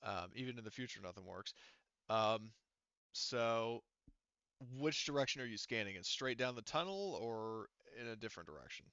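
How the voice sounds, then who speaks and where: A man's voice: on the low side, animated, normal speed, fairly bright tone, smooth, dry recording, dull audio. A man acting as game master, explaining and narrating an online tabletop game in a casual, hesitant, rambling way.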